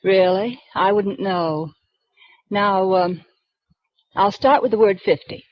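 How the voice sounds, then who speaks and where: An actress playing a prim, reserved librarian who speaks in a precise, proper way, in 1940s radio acting. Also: female voice, mid-range voice, takes it slowly, no echo, lightly acted. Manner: precise, proper, rule-bound speech. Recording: dull dry 1940s radio broadcast recording.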